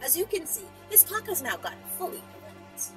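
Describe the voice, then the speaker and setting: A woman's voice: very high-pitched, sing-song, fairly quick, distant mic, over music. A woman reading a story aloud in a dramatic style, with playful, teasing asides to her listeners. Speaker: storyteller, channel host.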